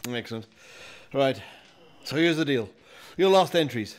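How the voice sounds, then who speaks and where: Guy doing officious exasperated magazine boss for an audio play, blunt, mid pitch. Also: expressive, measured pace, close to an everyday voice. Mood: brisk, awkward. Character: portly, fussy magazine boss.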